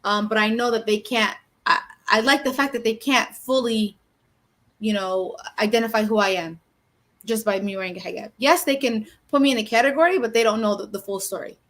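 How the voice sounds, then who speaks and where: A woman's voice: a little high, a little fast, a bit bright. A woman from Michigan co-hosting a podcast, chatting casually and telling stories with emphasis. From Michigan, United States.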